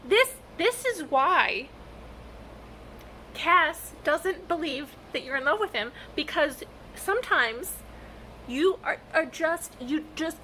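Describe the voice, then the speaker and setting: A woman talking casually in a reaction vlog, with a halting, expressive fangirl delivery and dramatic pauses. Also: high-pitched, slight background noise, low-quality recording. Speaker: vlogger sharing reactions to fan fiction.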